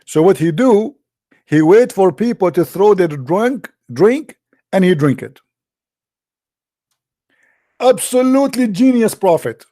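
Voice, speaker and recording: A man's voice: high-pitched, lively intonation, slow pace, pauses often, warm tone, dry recording, studio quality. A man hosting a live call-in show, speaking in an emphatic, sarcastic, preaching way.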